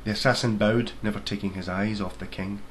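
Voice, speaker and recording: A man's voice: lowish voice, steady tone, a little fast. A man reading his own fairy tale aloud as scripted storybook narration. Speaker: author reading own book. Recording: faint background noise.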